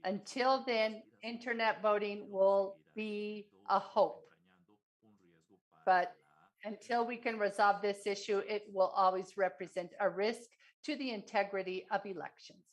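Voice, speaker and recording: A woman interpreting a forum speech, delivering it in measured, halting short phrases. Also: mid-range voice, fairly even intonation, low-quality recording.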